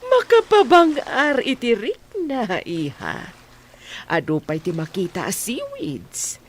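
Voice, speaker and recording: A woman playing a tender, contented mother in a dramatized audio story, speaking in a gentle, affirming manner. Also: a little high, huge pitch swings, slow, exaggerated voice. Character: tender, contented mother talking to her daughter. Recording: faint background noise.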